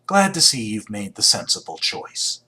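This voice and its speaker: A man's voice: fairly low pitch, big pitch swings, moderate pace, bright, forceful tone, exaggerated voice. An actor playing a world-weary, sardonic man in a video game, speaking in a dry, wry, probing way. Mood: dry, amused. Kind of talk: video game character dialogue.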